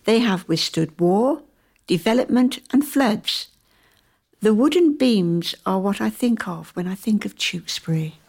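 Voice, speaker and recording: A woman playing an earnest townswoman in a radio drama, proud of her community and river. Her voice sits at a middle pitch and she speaks with conviction. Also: lively intonation, unhurried, creaky, darkish tone, husky, full character voice. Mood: reflective. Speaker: community theatre actor. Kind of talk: radio play acting.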